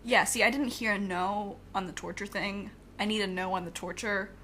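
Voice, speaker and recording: A woman's voice: mid-range voice, steady tone, normal speed, sharp tone. A young woman playing a wary, principled reluctant villain in an audio drama, hesitant, questioning and sarcastic.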